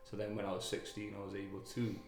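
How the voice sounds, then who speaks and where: A man with a very deep, gravelly voice speaks haltingly, full of fillers and restarts as he tells his own story. The room around him is echoey, and clear music plays behind. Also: monotone. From Wales. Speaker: guest, army veteran. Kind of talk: candid personal interview.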